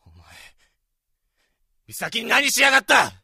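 A man playing a hot-headed, protective high school boy, confrontational, in a Japanese voice drama. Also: expressive, a little slow, vocal fry, pushed and bright, breathy edge, dry recording, clean studio sound, heavily acted. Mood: furious.

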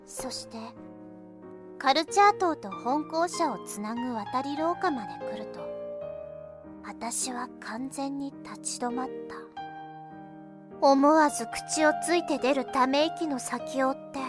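A woman playing a guarded, blunt, irritable high-school girl in an anime-style voice drama with first-person narration. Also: animated, slow, over music. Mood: brooding narration.

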